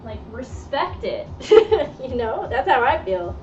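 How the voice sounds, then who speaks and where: A woman's voice: high-pitched, sing-song, takes it slowly, darkish tone, recorded from a distance, lo-fi sound, slight background noise. Chatty woman streaming from her sewing room. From Argentine heritage. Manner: chatty, opinionated, laughs often. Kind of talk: casual chat while sewing on a live stream. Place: indoor sewing workroom.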